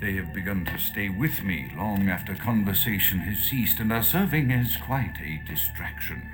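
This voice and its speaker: A man's voice: low voice, animated, normal speed. An actor playing a paranoid, fearful male vampire who dictates his journal in formal, ornate language for a game. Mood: uneasy, brooding. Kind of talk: dramatic recorded-diary monologue. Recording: music behind the voice.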